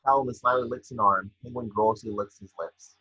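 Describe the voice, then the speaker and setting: A man reading scene descriptions as the narrator of a scripted table read, with a husky voice. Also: mid-range voice, steady tone, a little fast, bright, forceful tone, dull audio. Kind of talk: scripted narration of screenplay action.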